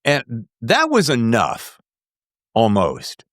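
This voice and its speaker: A man in his sixties hosting a podcast, chatting casually with effusive, excitable fan enthusiasm. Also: mid-range voice, slow pace, no room sound, clean studio sound. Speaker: podcast host. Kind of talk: casual interview chat.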